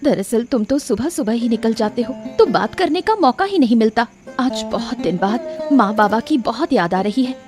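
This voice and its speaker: A woman's voice: fairly high pitch, lively intonation, moderate pace, few pauses, faint music behind, natural voice. A woman playing a meek, pleading, mistreated village wife in a cartoon story. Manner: soft-worded, pleading.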